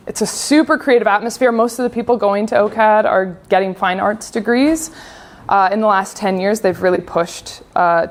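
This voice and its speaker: Chatty Canadian woman giving a talk. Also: mid-range voice. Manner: casual, chatty with frequent fillers. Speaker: conference speaker. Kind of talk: conference talk.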